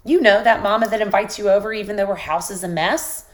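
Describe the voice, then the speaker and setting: Woman with a sick, squeaky voice. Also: fast talker. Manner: encouraging, coaching listeners directly. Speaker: podcast host. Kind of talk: motivational podcast monologue.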